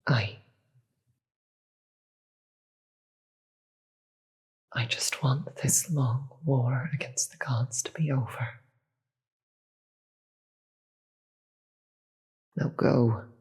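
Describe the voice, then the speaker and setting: A performer voicing a grave, weary demon queen in a dramatic audio roleplay, with a breathy, husky voice and slow, deliberate delivery. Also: medium pitch, steady tone, darkish tone, full character voice. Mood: weary, regretful. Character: weary, commanding demon queen, secretly soft-hearted.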